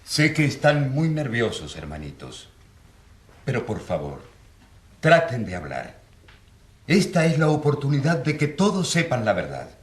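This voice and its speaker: A man's voice: medium pitch, wildly swooping pitch, a little slow, long pauses. An actor playing an earnest country priest in his thirties, pleading with rhetorical force in a radio drama. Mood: impassioned. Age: 30s. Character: impassioned rural priest of 34, defending poor peasants. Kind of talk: radio drama courtroom oratory. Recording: roomy echo.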